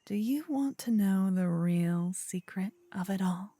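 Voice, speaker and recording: A woman voicing a sinister, possessive demon queen in a breathy, partly whispered ASMR fantasy roleplay, with an imperious, seductive, savoring manner. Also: mid-range voice, a little slow, dark tone, no room sound. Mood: gloating.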